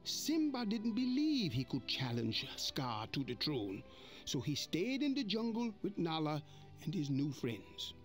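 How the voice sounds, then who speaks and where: Man reading a kids storybook, slow and lively, music behind. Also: on the high side. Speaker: narrator. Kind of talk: storybook narration.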